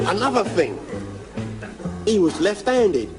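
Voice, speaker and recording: An actor playing an earnest, dim-witted sidekick policeman with deadpan delivery in a radio comedy. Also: high voice, a little slow, over music, a crowd in the background. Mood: eager, dim. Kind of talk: 1980s comedy radio play acting.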